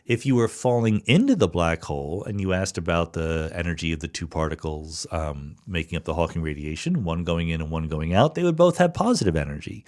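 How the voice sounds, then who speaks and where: A man's voice: low-pitched, expressive, fairly quick, few pauses. A man hosting a podcast, patiently explaining physics ideas and often checking in with "right?" and "okay?". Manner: thinks aloud with frequent "right?" and "okay?" check-ins. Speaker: podcast host answering listener questions. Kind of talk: explanatory solo podcast talk.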